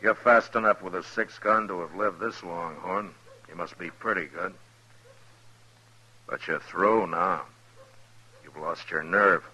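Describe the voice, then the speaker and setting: Deep-voiced, stern American frontier marshal. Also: male voice, bright, forceful tone, breathy edge, muffled recording, full character voice. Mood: cold, taunting. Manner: deliberate, authoritative, terse. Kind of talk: 1950s radio western acting and first-person narration. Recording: hissy old radio drama recording.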